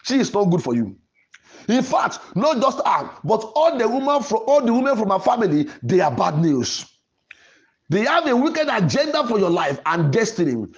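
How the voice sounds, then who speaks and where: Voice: medium pitch, big pitch swings, normal speed, muffled recording. A preacher delivering a sermon in an emphatic, declarative way, repeating phrases for emphasis. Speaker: preacher and podcast host.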